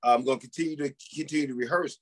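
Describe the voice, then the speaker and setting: A middle-aged man speaks as an interview guest. His voice is husky and medium in pitch, and he explains himself conversationally, like a pastor off the pulpit. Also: rapid-fire, a bit bright. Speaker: pastor, interview guest and novice stage actor.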